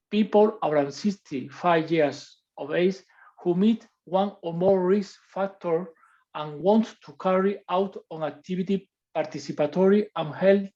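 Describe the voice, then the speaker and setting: A woman from Spain, a non-native English speaker with a Spanish accent, reads out a prepared list as a workshop panelist, haltingly restarting over English words. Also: lowish voice, dark tone. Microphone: video call. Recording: muffled lo-fi video call audio.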